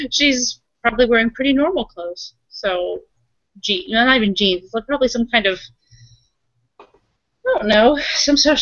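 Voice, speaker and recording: A woman's voice: mid-range voice, sharp tone, no echo. A woman playing a tabletop roleplaying game, improvising her character's actions in loose, informal, self-correcting chatter. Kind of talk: casual improvised roleplay and narration. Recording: dry, slightly muffled voice-chat audio.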